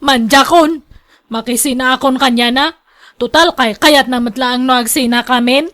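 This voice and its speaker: Woman playing a high-voiced, plaintive young wife. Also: steady tone, moderate pace, a bit bright. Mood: resigned. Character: distressed, long-suffering young married woman. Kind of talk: radio drama acting.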